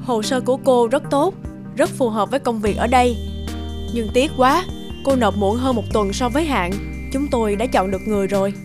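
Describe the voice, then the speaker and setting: A woman voicing a blunt, chatty office worker in an animated series. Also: a little high, brisk, close to an everyday voice. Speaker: office coworker and manager. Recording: background music.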